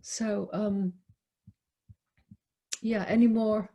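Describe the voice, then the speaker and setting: A woman leading a poetry discussion on a video call, explaining reflectively in deliberate, halting phrases with pauses. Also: mid-range voice, fairly even intonation, dark tone. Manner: halting, deliberate, pausing between short phrases. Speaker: poetry reader and discussion leader. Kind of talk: reflective spoken commentary, unscripted teaching. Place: online video call talk.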